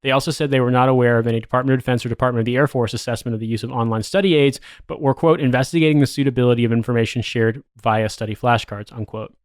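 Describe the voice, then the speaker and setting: A man in a mid-range voice reads a news article aloud in a measured, steady way, then chats in an amused, disbelieving tone. The mic is close and the room is dry, with no echo. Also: mid-range voice, clean tone. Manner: measured reading, amused and incredulous in chat. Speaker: podcast host. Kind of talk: reading an article aloud, then casual chat.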